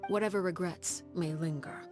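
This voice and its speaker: A woman voicing a cold, commanding head of a house, solemn, formal and breathy, in a game drama. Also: fairly low pitch, steady tone, normal speed, over music, close to an everyday voice. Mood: restrained regret.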